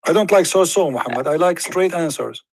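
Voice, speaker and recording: A man's voice: fairly high pitch, lively intonation, brisk, dry recording, studio quality. A man hosting a confrontational debate, pressing and sarcastic, cross-examining his guest. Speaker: debate host.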